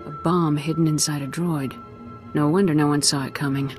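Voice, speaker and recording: Woman acting brisk confident female soldier. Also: deep voice, steady tone, over music, close to an everyday voice. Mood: cool, assured. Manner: terse, matter-of-fact. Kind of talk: video game voice acting.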